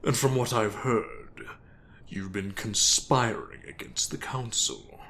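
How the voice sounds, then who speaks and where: Guy doing mafia boss, low forceful voice, slow n formal, calm threats, audio drama. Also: vocal fry, exaggerated voice. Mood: cold authority. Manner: measured, formal, quietly threatening. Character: commanding, coolly formal senior mob boss. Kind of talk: dramatic audio role-play acting.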